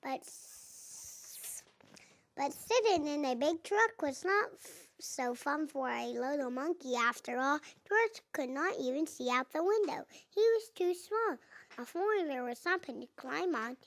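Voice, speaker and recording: A four-year-old girl reading a picture book aloud, haltingly, sounding out and repeating words. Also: high voice, animated. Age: young child, four and a half. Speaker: child reader.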